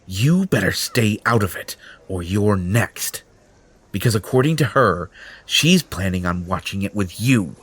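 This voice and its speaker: A man acting a slow, husky, arrogant superhero bully. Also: fairly low pitch, animated, a bit bright, heavily acted. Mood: smug, threatening. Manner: threatening, smug, domineering. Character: arrogant, possessive male superhero turned menacing bully. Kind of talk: audio roleplay drama acting.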